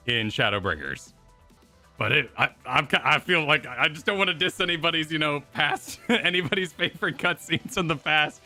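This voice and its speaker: A man's voice: a little high, bright, forceful tone. A man hosting a reaction stream with casual, jokey, self-interrupting commentary.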